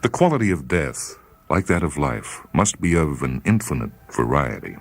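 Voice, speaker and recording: A man reading a short story as dramatic literary narration, measured and solemn. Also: rumbling low, sing-song, gravelly.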